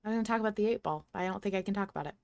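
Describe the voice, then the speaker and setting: A woman's voice: mid-range voice, rapid-fire, creaky, dry recording, studio quality. A woman hosting a casual fan podcast, speaking in an emphatic, mock-dramatic way. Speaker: podcast host.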